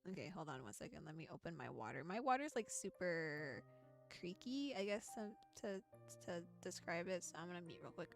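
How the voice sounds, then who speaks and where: A woman's voice: on the low side, sing-song, brisk, vocal fry, soft background music. A woman chatting casually on a livestream while she plays a visual novel, with playful, giggly asides. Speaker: VTuber streamer and voice actor.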